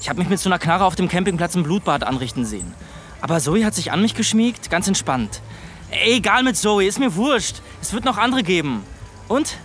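A man's voice: sits high, big pitch swings, fairly quick, a bit bright. An actor playing a brash, crude, swaggering teenage boy in a radio drama, with slangy, teasing speech. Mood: boastful. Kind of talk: colloquial radio drama dialogue.

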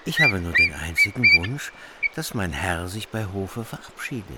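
An actor playing a proud, stern warrior vassal who speaks resolutely. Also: male voice, sits low, wildly swooping pitch, normal speed, heavy background noise. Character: grim, proud warrior vassal, hard and defiant. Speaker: actor in radio drama. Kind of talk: formal radio play acting.

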